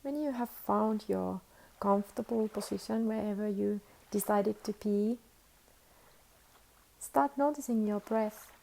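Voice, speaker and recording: A woman leading a guided meditation as a teacher, with soothing, unhurried instructions and long pauses. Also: mid-range voice, creaky, mellow, low-quality recording.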